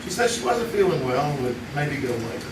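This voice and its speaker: A chatty middle-aged man tells a warm family anecdote and laughs easily. His voice is slightly raised in pitch. He is heard from afar in a roomy hall with audience noise. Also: very animated, fairly quick. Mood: amused. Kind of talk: live radio play on stage. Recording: echoey stage recording from far off, with audience noise.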